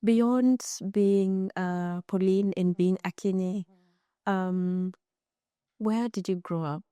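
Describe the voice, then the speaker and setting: Woman host, talks real slow and hesitant, lots of ums, dry room. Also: mid-range voice, steady tone, clean tone, dry recording. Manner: slow and hesitant, many ums and soft mm-hmms. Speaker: interview host. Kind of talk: interview hosting.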